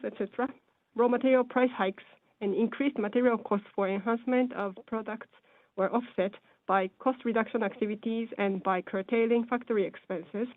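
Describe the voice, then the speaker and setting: A woman giving a formal, scripted financial presentation in a measured, businesslike way over a conference call. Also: medium pitch, no room sound. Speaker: presenter on an earnings call, speaking as or interpreting for a company executive. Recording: phone-like narrow-band conference call line.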